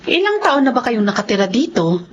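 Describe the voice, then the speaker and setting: A woman's voice: a little high, sing-song, brisk. A woman playing a composed, benevolent social worker in a radio drama. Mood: concerned. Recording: dull, noisy lo-fi recording.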